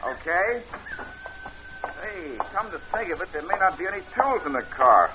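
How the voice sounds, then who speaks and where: An unsuspecting motorist, uneasy and puzzled. His voice is high and moves around a lot, in old radio thriller style, on a recording with clear noise. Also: very animated, normal speed. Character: unsuspecting, uneasy male motorist stranded on the roadside. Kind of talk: 1940s-50s radio thriller acting. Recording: noisy, dull vintage radio recording.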